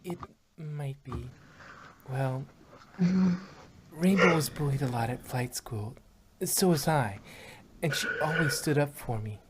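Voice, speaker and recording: A woman voicing a timid, gentle, anxious female pony with a soft, quiet voice in a fan story reading. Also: rumbling low, slow pace, long pauses. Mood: hesitant, sad. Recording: noisy home microphone with clicks.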